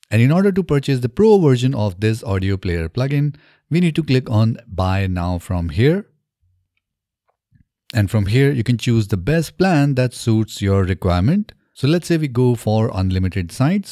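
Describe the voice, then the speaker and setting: A man in his thirties narrating a software tutorial step by step, in an instructional way, close to the microphone. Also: on the low side, dry recording. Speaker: tutorial presenter. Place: small indoor home studio. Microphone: close desk microphone on a boom arm.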